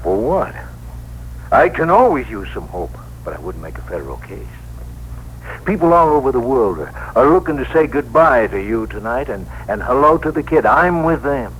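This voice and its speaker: Weary middle-aged cabbie. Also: male voice, mid-range voice, very animated, normal speed, a bit bright. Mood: plain, resigned. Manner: hesitant, colloquial, earnest. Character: weary, plain-spoken New York cab driver, philosophical. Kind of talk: 1960s radio drama acting. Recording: noisy, humming old radio broadcast.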